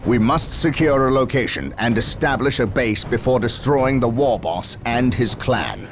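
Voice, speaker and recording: An actor playing a stern, resolute Space Marine captain in a video game, with a gravelly, authoritative, solemn delivery. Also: male voice, medium pitch, animated, moderate pace, slight background noise, natural voice. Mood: stern command. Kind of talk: video game cutscene acting.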